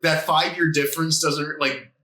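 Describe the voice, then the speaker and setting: A man's voice: a little high, level tone, moderate pace, bright, forceful tone, reverberant. A man in his late twenties hosting a casual podcast chat, joking and teasing his guest with casual swearing. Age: 20s. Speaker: podcast host.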